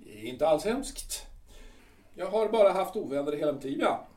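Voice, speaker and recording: A man's voice: a little high, expressive, far from the mic, lightly acted. A man playing a blustering old army captain. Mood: boastful. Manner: blustering, swings between loud and muttered. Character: boastful aging male artillery captain, domineering. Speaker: actor in an audio drama. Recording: echoey room, voice off-mic.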